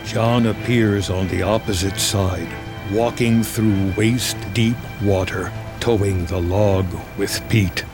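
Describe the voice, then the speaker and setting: A man narrating a screenplay in the present tense with measured, dramatic storytelling. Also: on the low side, steady tone, music in the background.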